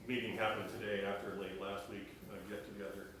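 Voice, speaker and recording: A man giving a city administrator's report to a council, steady and full of "uh" fillers. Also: fairly low pitch, brisk. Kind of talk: meeting report. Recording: echoey council chamber from far off, noisy.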